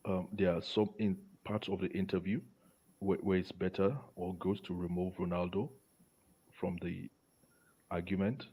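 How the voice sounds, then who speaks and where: A man on a casual football panel, talking haltingly and often repeating the starts of his words. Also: sits low, steady tone, warm tone, slightly rough. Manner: halting, stuttered word starts. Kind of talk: casual sports discussion.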